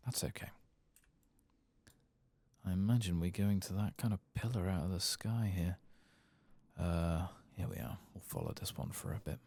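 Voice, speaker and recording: A soft-spoken man giving relaxed, musing ASMR commentary on a game playthrough, close to the mic and at times near a whisper. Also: moderate pace, creaky, long pauses. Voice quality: soft, low-volume voice, sometimes dropping to a whisper. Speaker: gaming ASMR channel host. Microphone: close, dry microphone.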